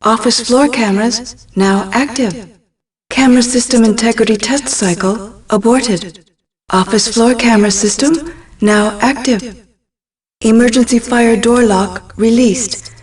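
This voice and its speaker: A woman voicing an impassive security system computer in a video game, making measured, impersonal announcements. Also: a little high, distant mic, lightly acted.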